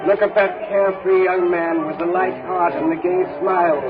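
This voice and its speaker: A man's voice: high-pitched, fairly even intonation, loud music behind the voice, natural voice. Man playing a solemn ghostly spirit, thinly recorded. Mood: insistent. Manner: deliberate, questioning, commanding. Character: solemn, probing male spirit guiding an old miser; not human, a ghostly spirit. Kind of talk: 1930s radio drama acting. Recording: thin, phone-like old radio recording, faint noise.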